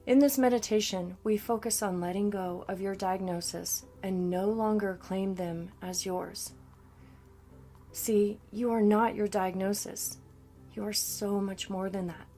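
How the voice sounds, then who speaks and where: A woman's voice: medium pitch, steady tone, measured pace, dark tone. Woman guiding a meditation over music. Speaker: meditation coach. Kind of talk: scripted guided meditation narration. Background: background music.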